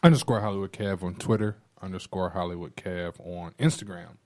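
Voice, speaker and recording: A man's voice: low-pitched, brisk, a little mellow. A man chatting casually as a podcast guest, opinionated and slangy, pressing his points with emphasis.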